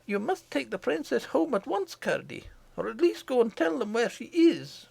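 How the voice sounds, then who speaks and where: A man voicing a kindly, sensible mother with a gentle, reasonable manner in an audiobook story. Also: extremely high pitch, sing-song, normal speed, gravelly, breathy edge, dry recording, voice pushed up, full character voice. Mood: concerned.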